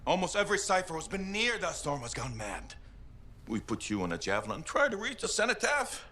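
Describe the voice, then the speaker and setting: A man playing a gruff, exasperated veteran pilot in a game cutscene, scolding and emphatic. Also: fairly high pitch, sing-song, a little fast, bright, forceful tone. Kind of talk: video game cutscene acting. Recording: faint background noise and ambience.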